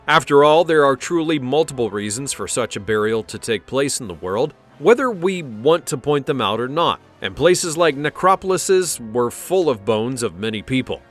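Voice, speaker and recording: A man's voice: medium pitch, a bit bright. A man reading a scripted countdown narration in a dramatic storytelling way, with wry conversational asides.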